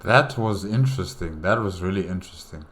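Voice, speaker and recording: Very deep-voiced man reacting, noisy recording. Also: animated. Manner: halting, thinking aloud, repeats himself. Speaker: reaction video host. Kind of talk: casual reaction commentary.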